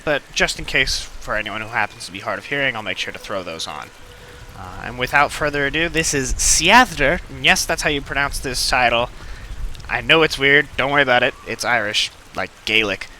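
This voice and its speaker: A man's voice: mid-range voice, sharp tone, a little hiss or hum. A man hosting a let's play with casual, hesitant, rambling gameplay commentary.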